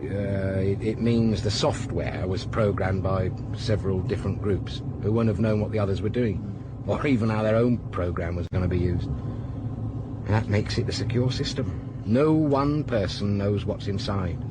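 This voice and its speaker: A man's voice: on the low side, normal speed, lightly acted. An actor playing a wry, world-weary, middle-aged police detective in a naturalistic radio drama, musing and hesitant as he talks. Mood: patient, wry. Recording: loud car and vehicle noise behind.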